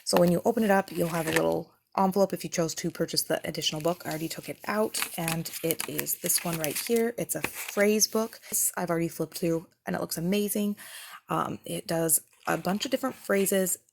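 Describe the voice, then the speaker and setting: A woman's voice: lowish voice, a little fast, creaky, background noise. A woman in her thirties giving a casual, explanatory product review in a conversational way, like a parent vlogger. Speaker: parent reviewing children's subscription boxes.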